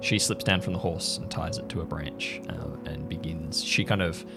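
Low, creaky-voiced male game master. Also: fairly even intonation, normal speed. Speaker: game master of an improvised audio drama. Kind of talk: improvised scene narration. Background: music under the voice.

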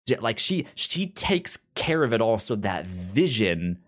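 A man's voice: fairly low pitch, big pitch swings, measured pace, fairly bright tone. A man co-hosting a podcast in casual chat, often stammering and repeating sounds as he explains. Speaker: podcast co-host. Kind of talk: casual chat reviewing a comic book.

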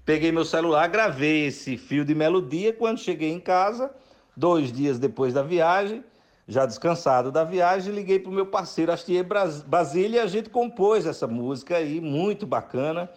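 Chatty man, somewhat high. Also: normal speed. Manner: chatty, animated, with warm praise for collaborators. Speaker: songwriter. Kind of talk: casual storytelling.

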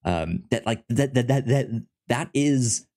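A man co-hosting a podcast, chatting casually about comics with many halting, stammered restarts. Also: mid-range voice, animated, warm tone. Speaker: podcast co-host.

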